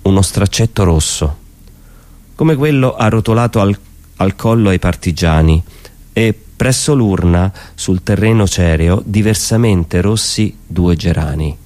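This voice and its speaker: A man giving a theatrical poetry recitation, solemn and declamatory, with deliberate pauses between phrases. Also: low-pitched, darkish tone. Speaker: stage performer reciting poetry.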